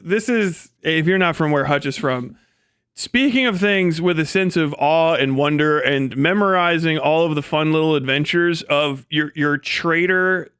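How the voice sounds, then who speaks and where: A man with a smooth, fairly high voice, recorded close and dry. He reacts casually like a live streamer, with amused sarcasm, mockery and plenty of profanity. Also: fairly bright tone, dry recording.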